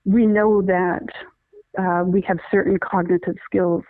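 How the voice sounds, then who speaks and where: A woman's voice: on the low side, level tone, unhurried, no room sound. Woman guest, middle-aged, earnest explainer with many restarts; dry phone-line sound. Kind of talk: conversational interview, explaining.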